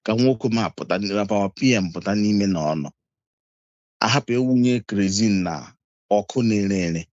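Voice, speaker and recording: A man's voice: fairly low pitch, normal speed, darkish tone, no echo, lo-fi sound. A man calling in to a talk show, switching back and forth between Igbo and English. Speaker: caller.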